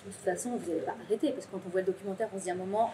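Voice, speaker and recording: A woman's voice: medium pitch, steady tone, very fast, warm tone, slight background noise. A woman asking questions in a conversational television interview.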